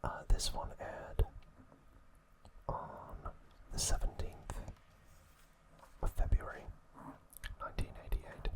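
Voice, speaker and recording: The man you would hear on a late-night ASMR channel, whispering slowly through episode trivia to someone tucked up in bed, leaving deliberate gaps between phrases. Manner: hushed, unhurried, deliberate pauses. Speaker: ASMR host.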